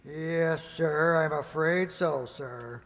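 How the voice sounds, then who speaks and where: A nervous, deferential aide in a radio drama acted by a man, slightly high in pitch. He speaks slowly, hesitant and apologetic before his superior. The sound carries a hall's long reverb. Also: heavy background noise. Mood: anxious. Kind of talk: amateur radio play acting. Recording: reverberant hall.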